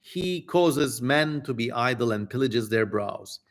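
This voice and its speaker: A man's voice: mid-range voice, expressive, measured pace. A man hosting a livestream dramatic reading, switching between theatrical recital and jokey, informal asides. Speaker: streamer, host.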